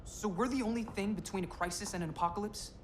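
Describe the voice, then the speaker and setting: An actor playing an earnest, cautious young hero in alien armor. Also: male voice, sits high, fast, heavy background noise, natural voice.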